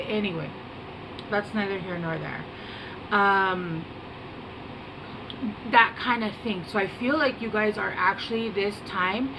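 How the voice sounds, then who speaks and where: A woman talks her viewers through a tarot reading in a soft, unhurried voice of middling pitch. She wanders off track, pauses to search for words and fills the gaps with little hesitations. A steady air-conditioner hum runs under her voice in a room at home. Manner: soft-spoken, meandering, hesitant with many fillers. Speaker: tarot reader. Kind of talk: casual rambling tarot reading to camera.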